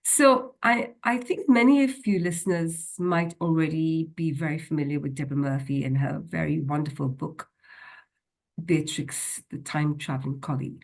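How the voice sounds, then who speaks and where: A woman hosts an author chat over a video call. Her voice is among the lowest for a woman, and she sounds eager yet halting, often pausing on fillers. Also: steady tone. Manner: enthusiastic, hesitant, lots of uh. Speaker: host. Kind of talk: interview hosting.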